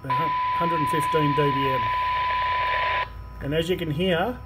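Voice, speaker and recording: Guy with a slightly high voice talking thru a repair job, noisy workshop, clicks. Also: expressive, slow pace. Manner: explanatory, talking while working. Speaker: radio repair technician presenter. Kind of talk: hands-on repair demonstration commentary. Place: indoor electronics repair workbench. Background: workshop noise, clicks, test tones.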